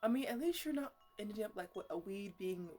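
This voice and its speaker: A woman playing an eccentric, defensive botanist in an ASMR roleplay, rambling haltingly and repeating herself as she fusses over her plants. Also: mid-range voice, lively intonation, warm tone, faint music behind, close to an everyday voice. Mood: defensive, coaxing. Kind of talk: ASMR roleplay, casual improvised chatter to plants.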